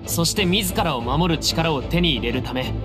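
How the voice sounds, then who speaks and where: A man's voice: a little high, animated, moderate pace, close to an everyday voice. Man as a confident young desert leader over music. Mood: earnest. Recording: clear background game music.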